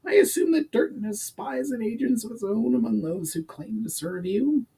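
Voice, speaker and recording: A man's voice: very high voice, lively intonation, normal speed, vocal fry, dark tone, slightly rough, pitched above the performer's own voice, full character voice. A man voicing a shrewd, scheming goblin strategist with an eager, calculating manner and formal diction, in a storybook reading.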